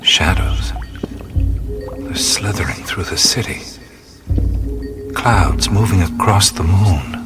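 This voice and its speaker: A hushed, awed dream-wanderer. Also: male voice, low voice, takes it slowly, long pauses. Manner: hushed, awed, often whispering. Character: adult man adventurer narrating a dreamlike vision. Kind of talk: first-person radio play narration. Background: music.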